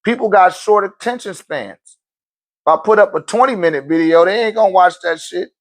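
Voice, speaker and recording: Male live-stream host, slightly high voice, relaxed slangy chat with viewers. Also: a little fast. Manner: loose, conversational, slangy, laughs often. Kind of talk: casual live-stream talk answering viewer questions.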